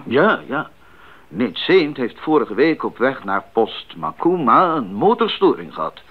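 An actor playing an older missionary doctor in a radio drama, an earnest and genial mentor who explains things deliberately and a little formally. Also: male voice, mid-range voice, very animated. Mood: earnest, concerned. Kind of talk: 1980s radio drama acting. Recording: muffled band-limited 1980s radio recording.

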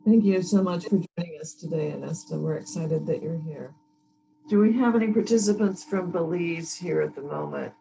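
A woman in her thirties hosting a training workshop over a video call, warmly introducing each participant in turn. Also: lowish voice, sing-song, normal speed, creaky, a little hiss or hum, poor audio. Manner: friendly, thanks each speaker. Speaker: workshop host.

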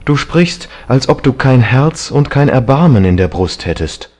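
A man voicing a grieving, reproachful painter in an audiobook novel, earnest, hushed and strained. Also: medium pitch, big pitch swings, unhurried, voice pushed up. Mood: sad, reproachful.

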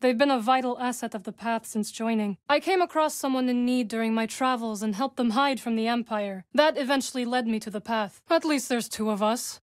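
Woman acting a young witch warrior, video game dialogue, fairly high voice, measured formal phrasing, dry wit, close dry sound. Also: no echo, natural voice. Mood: composed, dry. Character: composed, dry-witted young witch warrior from a galactic fantasy.

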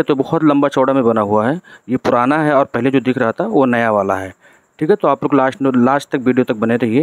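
A man's voice: medium pitch, no room sound. A man from narrates a casual road-trip vlog from a moving vehicle. He talks in a chatty way and keeps adding "theek hai" as a check-in tag. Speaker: travel vlogger.

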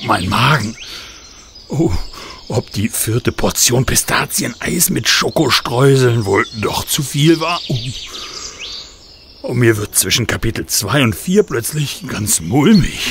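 A man's voice: medium pitch, background noise. A man voicing an old talking storybook that narrates a children's radio play. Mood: queasy. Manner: slow, expressive storytelling. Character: old talking fairy-tale book, narrating and groaning.

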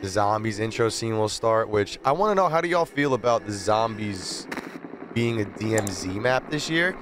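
A man's voice: on the low side, animated, moderate pace. Young male streamer over noisy game sound. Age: young adult. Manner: repetitive, slangy explaining. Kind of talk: casual gameplay commentary and tutorial. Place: small streaming room at a desk. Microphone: close desk microphone. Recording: game gunfire and vehicle noise behind. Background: video game gunfire and ambience.

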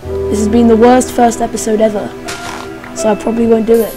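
Young female gamer, excitable and playful, higher voice, slow delivery, light music bed. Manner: excitable, squealing, playful sing-song noises. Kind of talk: casual let's play commentary.

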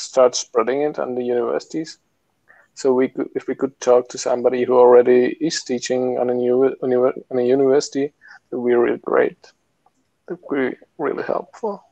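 A young man in his twenties speaks in a flat, monotone way with a gravelly edge. His voice sounds close and dry through earphones on a video call. He talks matter-of-factly. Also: mid-range voice, no echo, muffled recording. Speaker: participant. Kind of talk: casual video call discussion. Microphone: earphones with mic.

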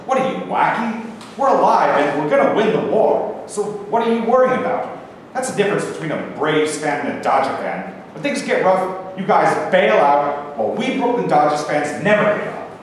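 Man acting a brash high-pitched soldier, echoey room. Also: expressive, normal speed. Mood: feisty. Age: young adult. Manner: combative, slangy wise guy. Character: brash, stubborn American POW, Brooklyn Dodgers fan. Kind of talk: staged play reading. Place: indoor rehearsal room, camera mic at a distance. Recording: very echoey room, distant mic, faint noise.

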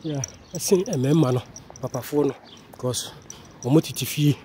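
A man's voice: medium pitch, normal speed, dark tone. A man with a Ghanaian accent chats casually and jokily to his viewers, talking into a handheld phone as he walks outside. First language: Twi. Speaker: vlogger, channel host. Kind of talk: casual walking vlog to camera. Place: outdoors, walking around a housing complex. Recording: noisy outdoor phone recording.

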